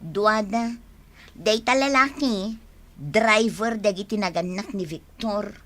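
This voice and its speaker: A woman's voice: mid-range voice, slow, a bit bright. A woman acting as a proud, blunt bride-to-be in an Ilocano radio drama, showy and emphatic, with an Ilocano Filipino accent. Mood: breezy, dismissive. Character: proud young bride-to-be, blunt and breezy with friend.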